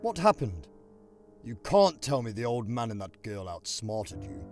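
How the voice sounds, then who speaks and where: A man's voice: on the low side, very animated, unhurried. A man playing an imperious, irritable president in a science fiction audio drama. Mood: irritated. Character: imperious, irritable male president of a Time Lord society. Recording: loud music over the voice. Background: loud music.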